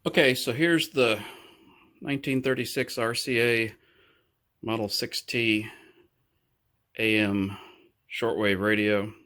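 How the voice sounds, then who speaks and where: An older man giving a casual, explanatory walkthrough in a halting way, pausing between phrases. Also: on the low side, fairly even intonation, pushed and bright, dry recording, clean studio sound. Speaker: hobbyist presenter restoring a vintage radio. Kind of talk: casual narrated walkthrough and demonstration.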